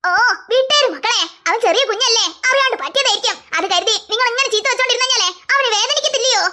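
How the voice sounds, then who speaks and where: A high voice doing a soothing old grandma. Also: animated, fast. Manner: soothing, protective. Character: kind doting old grandmother. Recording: loud background noise.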